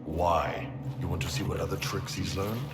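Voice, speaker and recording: A man's voice: low voice, fairly even intonation, moderate pace, close to an everyday voice. A man playing a world-weary fighter in a game, with a dry, wry, informal manner. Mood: grim, wry. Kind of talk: dramatic game voice acting. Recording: echoey room, loud music behind.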